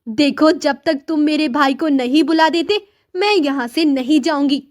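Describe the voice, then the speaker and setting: Casting: female actor for meek, devoted poor sister, high-pitched, pleading, cartoon morality tale. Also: moderate pace, close to an everyday voice. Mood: determined. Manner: earnest, pleading, deferential. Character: humble, devoted poor sister in old clothes. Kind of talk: Hindi moral-story cartoon dialogue.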